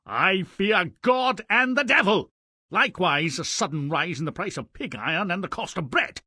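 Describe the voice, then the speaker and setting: A man's voice: sits high, animated, moderate pace, bright, forceful tone, husky, dry recording, studio quality, full character voice. An actor playing a haughty, fearful father who scorns his son in a fairy-tale video game, pompous and declamatory. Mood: disdainful.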